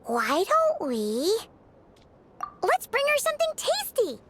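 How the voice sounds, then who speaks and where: Tiny fairy sidekick, extremely high squeaky child voice, bouncy swooping intonation, excitable, exclamatory, video game cutscene. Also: female voice, slow pace, background noise, heavily acted. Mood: eager, cheerful. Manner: refers to self in third person, exclamatory. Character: small childlike female fairy companion, chirpy, excitable, food-loving.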